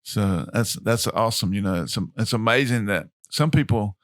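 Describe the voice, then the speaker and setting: A man's voice: fairly low pitch, lively intonation, moderate pace, dry recording, clean studio sound. A pastor hosting a podcast interview, chatting casually and laughing often with his guests.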